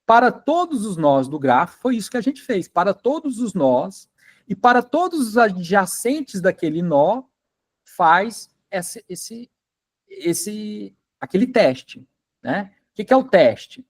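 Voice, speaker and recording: A man's voice: sits high, very animated, slow pace. A man teaching a university class in a casual, chatty way, often checking that students are following along. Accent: Brazilian Portuguese. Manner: chatty, asks students if they follow, many fillers. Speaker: university lecturer. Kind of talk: informal classroom lecture.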